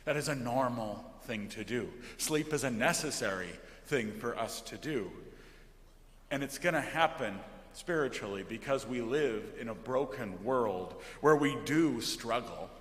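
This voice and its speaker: An American man preaching a sermon as a pastor, earnest and emphatic, with phrases that often trail off softly. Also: medium pitch, lively intonation, unhurried. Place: large indoor church during worship. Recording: echoey church hall with long reverb.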